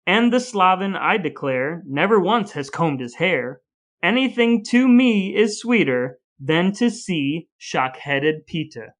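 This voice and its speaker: A man playing a mock-solemn storybook narrator, reading grim cautionary verse in a measured, emphatic, theatrical way. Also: high-pitched, very animated, a bit bright, heavily acted. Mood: scornful.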